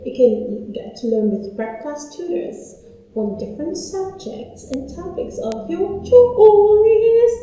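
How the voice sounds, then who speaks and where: Slow, chatty woman tutor, echoey room. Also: very high voice, animated, dark tone. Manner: chatty, self-interrupting, repeats phrases. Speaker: online English tutor. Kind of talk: playful casual teaching. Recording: echoey room, slightly dull audio.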